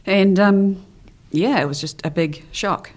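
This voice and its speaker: A woman's voice: mid-range voice, unhurried. A young woman from the Isle of Man talking casually as an interview guest, chatty and hesitant, full of ums and trailing phrases.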